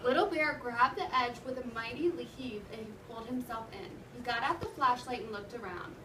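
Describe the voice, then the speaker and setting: A woman's voice: a little high, a little fast, bright, forceful tone. A woman teacher reading a storybook aloud to a class, expressively, with instructive, questioning talk. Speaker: elementary school teacher. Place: indoor classroom. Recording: echoey noisy room, distant mic.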